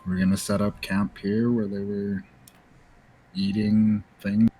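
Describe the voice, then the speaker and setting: A man's voice: on the low side, flat delivery, slow, dark tone, slight background noise, poor audio. A man chatting casually as a player in a tabletop game.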